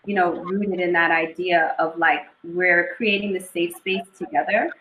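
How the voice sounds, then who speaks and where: A young woman poet and author talking in a casual interview, thoughtful and unhurried, with many pauses. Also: on the low side, steady tone, fairly bright tone, echoey room, a little hiss or hum, poor audio. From Eritrea and northern Ethiopia (family).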